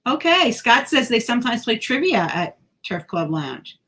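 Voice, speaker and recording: A woman's voice: on the high side, very animated, moderate pace, fairly bright tone. A woman co-hosting a podcast in casual chat, talkative and self-deprecating, sometimes tripping over her words. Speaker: podcast co-host.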